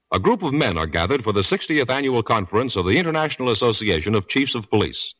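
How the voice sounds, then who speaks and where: A man's voice: lowish voice, fairly bright tone, no room sound, poor audio. A man announcing formally in the style of 1950s radio. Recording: vintage radio broadcast with music.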